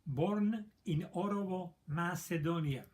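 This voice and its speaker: Slow-talking older immigrant man. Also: high-pitched, darkish tone, slight background noise. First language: not English. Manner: halting, non-native grammar. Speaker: interviewee. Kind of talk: interview recollection.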